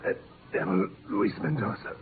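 An actor playing a wounded doctor, weak and strained, bitter and alarmed, in 1950s radio drama. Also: male voice, normal speed, natural voice. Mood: pained, bitter. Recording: narrow, noisy old radio recording.

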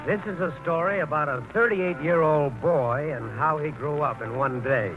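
A man's voice: on the high side, normal speed. A man narrating a play in a scripted 1940s radio storytelling style. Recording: muffled old radio, loud music behind. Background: loud music.

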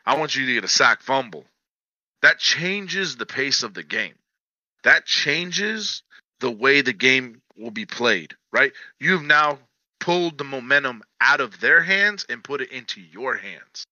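Football coach guy, emphatic, very bright voice, kinda muffled lo-fi audio. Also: medium pitch, big pitch swings, measured pace, no echo. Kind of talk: casual sports podcast talk.

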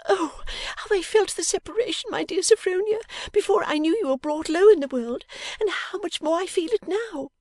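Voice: very high-pitched, moderate pace, hardly any pauses, a little mellow, no room sound, studio quality, pitched above the performer's own voice. A reader voicing a silly, tearful young lady who gushes breathlessly while sobbing. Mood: tearful gushing.

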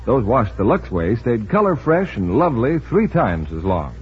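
A man announces a scripted 1940s radio advertisement with lively intonation. His voice is very husky, at a middle pitch, and the recording is dull. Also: moderate pace. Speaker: announcer reading sponsor's advertisement.

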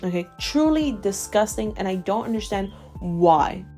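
A woman's voice: mid-range voice, moderate pace. A woman in her twenties, from Ecuador with Spanish as her first language, hosting a casual podcast and ranting emphatically, often tagging on "okay?". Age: 20s. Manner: emphatic and animated, keeps asking "okay?". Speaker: podcast host. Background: faint chill background music.

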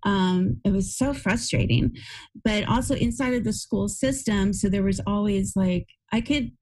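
A woman's voice: mid-range voice, unhurried, darkish tone, rough recording. Woman interviewer, reflective and encouraging, casual chat.

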